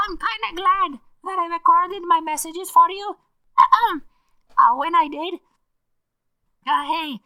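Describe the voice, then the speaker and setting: A performer, heard as a woman, voicing a nervous, doomed caller leaving a halting, stammering horror game phone message in a cartoonish voice. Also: extremely high pitch, sharp tone, pitched above the performer's own voice, heavily acted.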